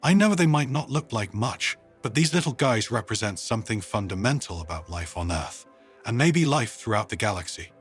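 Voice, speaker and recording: A man voicing an enthusiastic, earnest woman scientist in a storybook, with a bright, eager, persuasive manner. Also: on the low side, expressive, normal speed, husky, faint music behind. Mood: earnest passion. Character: enthusiastic, earnest female human scientist proudly presenting worms.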